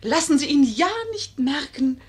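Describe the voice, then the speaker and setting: A woman's voice: sits high, expressive, a little slow. An actress playing a clever, coaxing young lady in a 1950s German radio play, theatrical and persuasive. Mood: coaxing, scheming.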